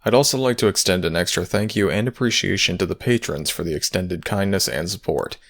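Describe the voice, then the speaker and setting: A man's voice: low voice, fairly quick, creaky, a bit bright, no room sound, studio quality. A man who makes audio roleplays, giving a scripted thank-you to his listeners.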